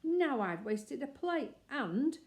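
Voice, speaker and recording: A woman's voice: sits high, very animated, slow pace, darkish tone, pitched above the performer's own voice, full character voice. A woman voicing a shifty, untidy male seaside photographer with a pushy manner. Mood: nasty. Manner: pushy and wheedling.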